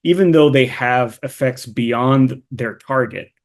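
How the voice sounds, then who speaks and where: A man's voice: medium pitch, takes it slowly. A man from Miami speaking as a panel guest, often restarting and repeating words as he searches for phrasing. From Miami, United States. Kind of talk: panel discussion answer.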